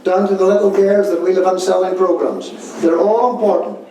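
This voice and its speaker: A man's voice: sits high, steady tone, moderate pace, dark tone. A man giving an informal, motivational speech to a group as a director, emphatic and repeating his key points. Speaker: pantomime director addressing the cast. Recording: very echoey room, distant mic, noisy.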